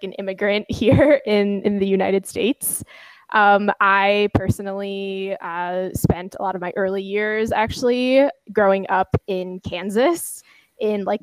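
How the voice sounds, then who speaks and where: Young woman, podcast co-host, reflective and halting, dry close sound. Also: mid-range voice, smooth, dry recording, rough recording. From Philippines. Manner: thoughtful, hesitant with many fillers. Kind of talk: casual podcast chat.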